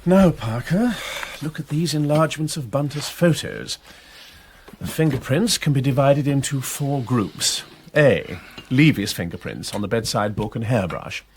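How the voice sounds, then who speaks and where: An actor playing an eager, courteous aristocratic detective with an upper-class English accent in a British radio drama. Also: male voice, medium pitch, animated, a little slow, a little hiss or hum. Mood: brisk, eager. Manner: urbane, courteous, hesitant musing.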